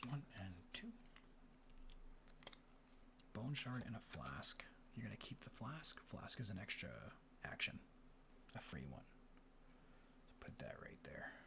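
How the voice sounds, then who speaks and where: A man hosting a board game in ASMR style, mixing soft speech and whispers as he murmurs an unhurried play-by-play. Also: fairly low pitch. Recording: clear background noise. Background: room ambience, board game handling sounds.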